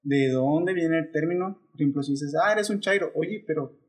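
A man with a Mexican accent, talking in a slightly high voice. He explains things in a loose, rambling way and slips jokes in as he goes, like a co-host in a casual podcast chat. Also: moderate pace. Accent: Mexican Spanish. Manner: relaxed, rambling, joking explainer.

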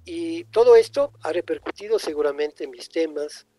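An older man raised in Italy with Italian as his first language, a writer reflecting slowly in an interview, often pausing and restarting. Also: a little high, animated, dark tone, no room sound. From born in Egypt, raised in Italy. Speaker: writer guest. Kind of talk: thoughtful interview answers.

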